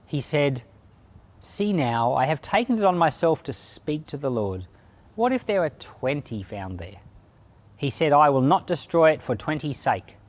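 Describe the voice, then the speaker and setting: A man reads a Bible passage aloud in an animated, expressive way. His pitch moves widely as he narrates, and he phrases each line with deliberate care. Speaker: Bible podcast reader and pastor. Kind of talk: scripture reading, dramatized narration.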